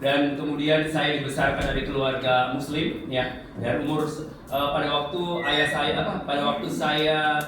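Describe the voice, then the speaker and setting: Man, evangelist preaching, slightly high pitch, heavy echo, conversational testimony, rhetorical questions. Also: little pitch movement, moderate pace, noisy background. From Central Java, Indonesia. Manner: conversational, asks the congregation rhetorical questions and tag questions. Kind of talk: sermon with personal testimony. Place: large echoey room before a congregation. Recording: echoey hall, distant mic, audience present.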